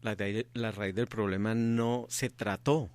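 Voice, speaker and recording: A man's voice: fairly low pitch, steady tone, a little slow, darkish tone, dry recording. A middle-aged man from Colombia, answering interview questions earnestly and repeating his key points for emphasis. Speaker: interview guest, activist father.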